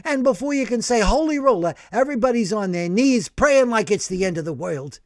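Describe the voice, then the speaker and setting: A man voicing a brash, excitable older working-class man who tells his story in animated slang. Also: high voice, brisk, no room sound, clean studio sound, pitched above the performer's own voice. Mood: excited.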